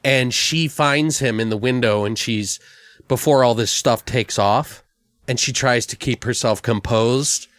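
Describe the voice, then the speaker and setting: A man co-hosting a podcast, casually brainstorming a comedic horror story in a crude, animated, sweary way. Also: medium pitch, measured pace, no room sound, studio quality. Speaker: podcast co-host.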